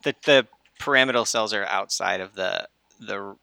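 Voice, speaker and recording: A man with a bright but low, gravelly voice explains things informally. He sounds laid-back and knowledgeable, like a player chatting at a game, and the recording is very dry. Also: moderate pace, no echo. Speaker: player and plant expert on an RPG podcast. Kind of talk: casual explanatory chat.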